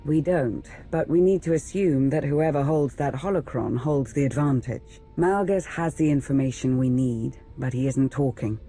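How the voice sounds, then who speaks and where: A man voicing a pragmatic, sober strategist in a game, measured and serious. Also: mid-range voice, mellow, close to an everyday voice. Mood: sober, determined. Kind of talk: video game cutscene acting.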